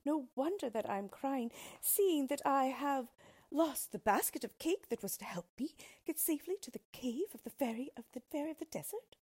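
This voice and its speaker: A woman's voice: high-pitched, animated, normal speed, darkish tone, pitched above the performer's own voice. A woman reading a storybook, playing a proud, fearful young princess in a dramatic manner. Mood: sorrowful. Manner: pleading, indignant, dramatic.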